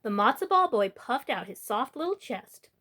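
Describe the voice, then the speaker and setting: A woman's voice: on the high side, sing-song, moderate pace, a bit bright. A woman hosting a storytime, reading a children's picture book aloud as narrator.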